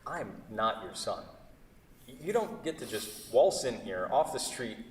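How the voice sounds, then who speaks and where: A man performs an intense artist, wounded and exacting, in a staged play. His pitch moves widely around a middle register. The voice rings from far off in a reverberant hall. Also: sing-song, unhurried, a little hiss or hum. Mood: defensive, indignant. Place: theatre hall. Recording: distant mic in echoey hall.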